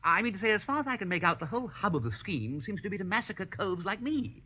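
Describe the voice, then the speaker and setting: An actor playing an amiable, dithering young upper-class English gentleman, chummy and exclamatory, in a radio comedy drama. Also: high-pitched, big pitch swings, quick pace, lo-fi sound. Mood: flustered. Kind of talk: first-person narration and radio comedy acting.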